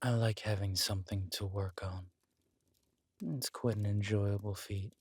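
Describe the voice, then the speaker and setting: A man's voice: fairly low pitch, flat delivery, measured pace, breathy edge, no echo. A man playing a scheming, flirtatious villain king in an intimate roleplay audio drama, with a languid, teasing, menacing delivery. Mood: teasing, amused.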